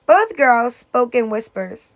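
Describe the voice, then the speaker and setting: A woman with a high voice reads a children's story as a teacher would. Her delivery is slow and deliberate, with expressive storytelling. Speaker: teacher reading aloud. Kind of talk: children's story read-aloud.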